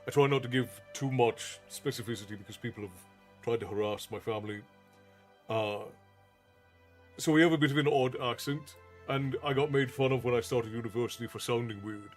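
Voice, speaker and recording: A man's voice: mid-range voice, fairly quick, soft background music. Folksy Irish-tinged streamer man. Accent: Americanized Southern Irish. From Ireland. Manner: folksy, thanks chat by name, calls viewers "love". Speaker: streamer and storyteller host. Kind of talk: casual livestream chat.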